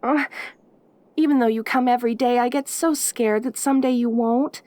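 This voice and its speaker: A woman's voice: a little high, fairly even intonation, normal speed, a little mellow, no room sound, close to an everyday voice. A woman voicing a perky, lonely caged demon girl in an intimate audio roleplay, with an eager, rambling, stammering manner. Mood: anxious, rambling. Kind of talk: audio roleplay acting, addressing the listener intimately.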